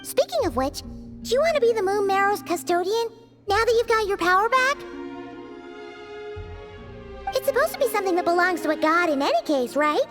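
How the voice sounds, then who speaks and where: A woman voicing a tiny, childlike, chatty fairy companion in an anime-style video game, squeaky, curious and informal. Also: very animated, fast talker. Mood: curious, puzzled. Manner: informal, talks of herself in third person. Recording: music behind the voice.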